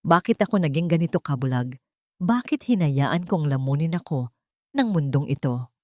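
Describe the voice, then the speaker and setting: A woman acting out a remorseful adult son in an audio story, his voice climbing high and raw whenever he begs. The sound is dry and close to the mic. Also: lively intonation, normal speed, darkish tone, no room sound. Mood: anguished. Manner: pleading, emotional outbursts. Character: grown son, remorseful and desperate.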